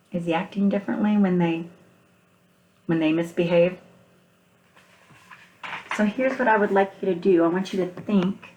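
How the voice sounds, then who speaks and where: A woman with a slightly low voice teaches a read-aloud lesson for young children. She speaks as a kindergarten teacher, putting questions to her listeners and explaining each step in simple, patient terms. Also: reverberant, slight background noise, rough recording.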